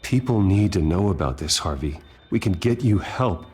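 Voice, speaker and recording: An actor playing a serious, calm young man of wealth who is secretly a vigilante, speaking in a measured way. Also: low voice, husky. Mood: concerned. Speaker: game character.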